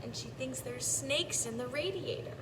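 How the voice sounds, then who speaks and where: A woman reading a storybook aloud to preschoolers in a deliberate teacher's manner, stretching out repeated words and pausing to explain. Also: sits high. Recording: echoey, distant mic, clearly noisy background.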